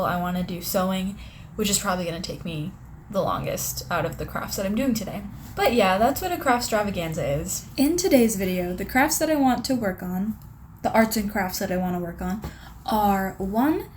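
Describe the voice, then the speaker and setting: A young woman with a slightly strained, sore-throat voice chats casually through her plans at home. She rambles with lots of little fillers and keeps an easy, middle-pitched tone. A faint room hum sits behind her. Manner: chatty, rambling with many "like"s. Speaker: craft vlogger. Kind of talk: casual vlog narration.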